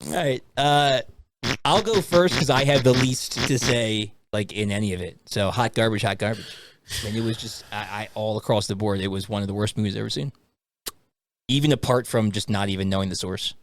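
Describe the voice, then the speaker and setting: A man's voice: lowish voice, fairly quick. A man co-hosting a casual, bantering film review chat. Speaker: podcast co-host leading the discussion.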